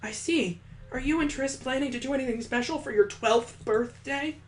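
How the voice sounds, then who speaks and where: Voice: high-pitched, normal speed, soft background music, voice pushed up, natural voice. A let's player voicing a prim, knowledgeable schoolgirl who speaks formally in a visual novel. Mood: polite interest. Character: prim, knowledgeable schoolgirl acting as assistant teacher.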